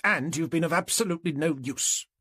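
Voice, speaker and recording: A man's voice: fairly high pitch, a little fast, fairly bright tone, breathy edge, no room sound, studio quality, pitched above the performer's own voice. A man voicing an indignant, pompous middle-aged neighbour in an audiobook, with a clipped British accent. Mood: exasperated. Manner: pompous, exasperated.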